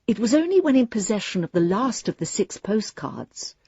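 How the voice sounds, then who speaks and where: A woman's voice: mid-range voice, big pitch swings, normal speed, dark tone, slightly rough, no room sound, lo-fi sound. An actress playing a genteel, politely fussy English lady reading her letter in a radio drama, prim and wordy in manner. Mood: delighted, chatty.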